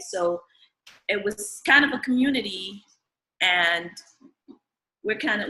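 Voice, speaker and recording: Bright-voiced woman from cameroon, lots of ums, echoey hall mic. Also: medium pitch. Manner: hesitant, frequent ums. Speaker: audience member. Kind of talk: personal testimony at a live forum.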